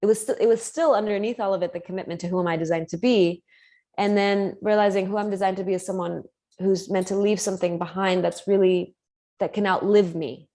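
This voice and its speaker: A woman's voice: medium pitch, fairly even intonation, fairly quick, dark tone. A woman speaking earnestly on a live video call, thinking aloud about commitment as a coach, with frequent restarts and fillers. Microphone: video call mic.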